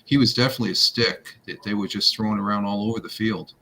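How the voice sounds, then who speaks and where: Low-voiced man, many fillers. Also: fairly even intonation, quick pace, bright, forceful tone. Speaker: panelist on fantasy football show. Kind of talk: casual sports chat.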